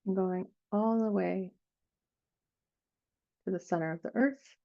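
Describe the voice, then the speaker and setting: A woman leading an improvised guided meditation, speaking in gentle, unhurried phrases with frequent pauses. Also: medium pitch, creaky, highs cut off.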